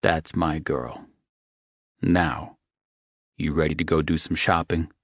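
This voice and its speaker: Man voicing tough protective young man, very deep, gentle, crude talk. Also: fairly even intonation, takes it slowly, no room sound, clean studio sound, exaggerated voice. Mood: tender. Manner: low, gentle, coarse-talking.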